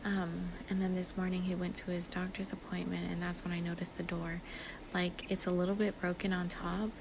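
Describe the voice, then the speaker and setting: A woman recounts personal events in a loose, chatty way, voicing bits of dialogue as she goes. Her voice sits a little low, with plenty of vocal fry and a fairly even melody. The amateur recording has clear ambient noise behind her. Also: moderate pace. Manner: filler-heavy, conversational, quotes dialogue as she retells. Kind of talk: casual personal storytelling, like a voice message. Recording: noisy ambient background, amateur voice clip.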